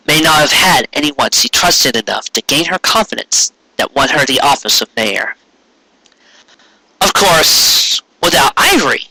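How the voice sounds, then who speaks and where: Bright, dry-sounding male story narrator. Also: a little high, no room sound, rough recording. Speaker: amateur audiobook reader.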